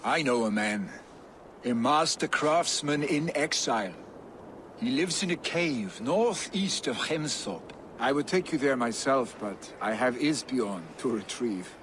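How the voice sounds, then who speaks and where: An actor playing a proud, confident Viking jarl's son in a video game cutscene. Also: male voice, medium pitch, lively intonation, unhurried, noisy background, lightly acted.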